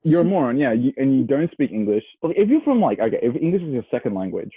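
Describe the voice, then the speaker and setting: A man's voice: mid-range voice, big pitch swings, fast, husky, no echo. A young Australian man bantering casually and bluntly as a podcast co-host, swearing freely and full of opinions. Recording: muffled phone-like radio sound.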